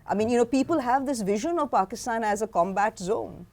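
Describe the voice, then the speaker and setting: A woman professor fielding questions in a lecture hall, her voice lively and swinging up and down in pitch. She answers in an emphatic, pointed way, sure of her ground. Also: brisk. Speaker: author and history professor. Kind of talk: answering audience questions at a book talk.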